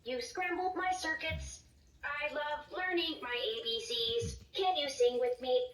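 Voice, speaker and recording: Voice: high voice, unhurried, a bit bright. A voice actor playing a cheerful little toy robot tutor with upbeat, encouraging, sing-song lines, heard through a toy speaker. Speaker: learning toy voice. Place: toy speaker played in a room. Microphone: recorded from a distance. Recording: roomy, distant toy speaker with music.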